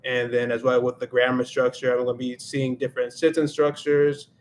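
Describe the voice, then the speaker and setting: A man of middle pitch hosts a laid-back livestream, reflecting out loud as he goes. He slips into Spanish here and there, with a faint hum behind him. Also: flat delivery, fairly quick. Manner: reflective, thinks aloud, switches into Spanish now and then. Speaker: livestream host and Spanish learner. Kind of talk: casual livestream chat.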